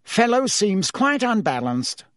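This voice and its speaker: A fretful, blustering official who barks and puffs, his voice raised higher and more animated than a calm speaker's, as a male reader puts him on. It is a close, dry narration-style recording. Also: measured pace, no room sound, exaggerated voice. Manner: blustering and barking.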